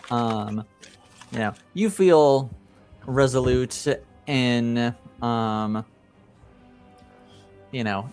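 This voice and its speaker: Smooth, slow-spoken male game master. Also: mid-range voice, darkish tone. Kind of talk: casual tabletop game narration and chat.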